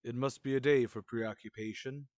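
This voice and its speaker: A man's voice: mid-range voice, fairly even intonation, moderate pace, darkish tone, dry recording, natural voice. An actor playing a grumpy, sarcastic older male school principal in a radio comedy, speaking in a dry, withering, pompous way. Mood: grumbling, scornful. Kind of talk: old-time radio comedy acting.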